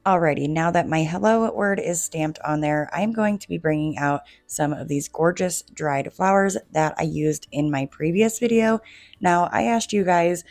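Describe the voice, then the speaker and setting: A woman with a low voice talks viewers through a craft project, chatty and relaxed, often calling them "you guys". She is coming down with a cold and her voice is fading. The sound is dry and close, with no room echo. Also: moderate pace, soft background music. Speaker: DIY home decor creator. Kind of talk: casual craft tutorial narration.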